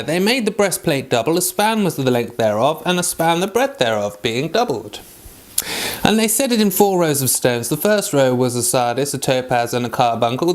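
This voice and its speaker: High-voiced man reading scripture aloud. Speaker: Bible reader.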